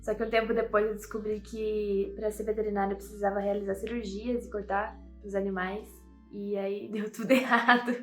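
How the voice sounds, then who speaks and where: Jovem de vinte anos, voz monótona. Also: female voice, medium pitch, level tone, normal speed, faint music behind. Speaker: dance student. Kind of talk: personal testimony to camera.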